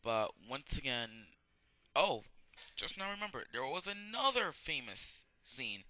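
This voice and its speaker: A man's voice: mid-range voice, very animated, sharp tone, no room sound. A young man giving a rambling, casual movie review, full of fillers and restarts, with a sore throat. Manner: halting, many fillers and restarts. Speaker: amateur video reviewer.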